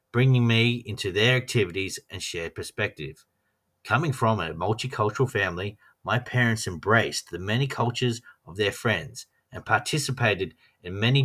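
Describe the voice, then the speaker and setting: An Australian man narrates a prepared personal statement in an earnest, measured read. His voice sits a little low but has a bright, forceful edge. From Western Australia. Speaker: trainee teacher.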